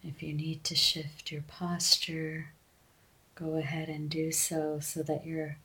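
Slow, even-toned woman guiding meditation. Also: low voice, poor audio. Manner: gentle, unhurried instruction with long pauses. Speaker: meditation guide. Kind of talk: guided breathing meditation.

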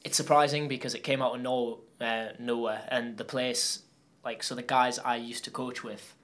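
A young Geordie man talks in a loose, rambling way, full of fillers and restarts. His pitch sits in the middle but barely moves, so it comes out fairly monotone. He is a guest in a relaxed interview. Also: normal speed. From Newcastle upon Tyne, England. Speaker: guest athlete. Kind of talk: casual interview chat.

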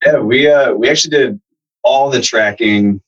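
A man from Virginia, a band's bassist, talking casually as a podcast guest from a home room, relaxed and rambling with lots of "like". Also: moderate pace, husky, lo-fi sound. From Virginia, USA. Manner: relaxed, rambling, says "like" often. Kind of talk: casual interview chat. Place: home room where the band records.

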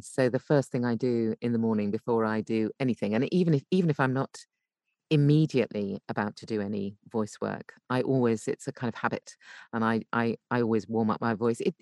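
A British woman talking conversationally as an interview guest, explaining things in an animated, emphatic way from a home voice booth. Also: rumbling low, dry recording, studio quality. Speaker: podcast guest, voice actor and coach.

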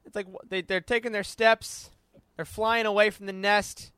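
An enthusiastic male podcast host with a high, bright voice. He speaks casually and playfully, and the sound is close and dry. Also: little pitch movement, normal speed, smooth, dry recording. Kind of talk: casual podcast hosting and reading out a listener's letter.

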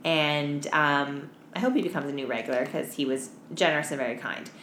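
Young female podcast co-host, notably low pitch, tired, blunt slangy chatter, recorded in a noisy room. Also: brisk, a bit bright. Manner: slangy, blunt, heavy use of "like" and "um". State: tired, no sleep. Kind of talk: casual chat, telling work anecdotes. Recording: echoey room with clear background noise.

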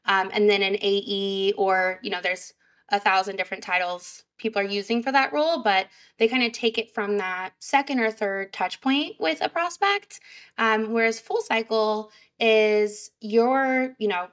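A woman guest on a podcast, high energy and chatty, with a fairly high voice and lots of fillers. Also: normal speed, a bit bright. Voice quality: higher pitched voice. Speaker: sales representative guest. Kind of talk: casual podcast interview.

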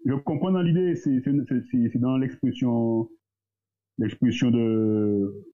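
A man, talking as a guest in a casual discussion, thoughtful and hesitant, pausing often. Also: mid-range voice, steady tone, warm tone. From Guadeloupe. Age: 30s. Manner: thoughtful, hesitant, with many pauses and fillers. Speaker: guest, entrepreneur. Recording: tinny phone-like line.

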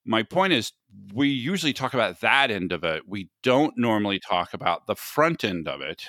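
A man's voice: fairly low pitch, very animated, a bit bright, no room sound, clean studio sound. An American man hosting a podcast in a casual, chatty way, joking at his own expense. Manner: chatty, self-deprecating, quick to laugh. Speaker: podcast host. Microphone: sensitive podcast mic.

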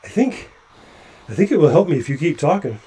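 An actor playing a nervous, chatty male doctor climbing along a ship's hull, halting with stammering restarts. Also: on the high side, big pitch swings, dark tone, husky. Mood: anxious. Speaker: physician on a spacewalk. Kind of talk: audio drama acting.

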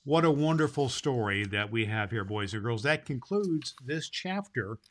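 A man hosting and reading a Christmas storybook aloud to children in an expressive way. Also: medium pitch, moderate pace. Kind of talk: storybook read aloud with informal host talk.

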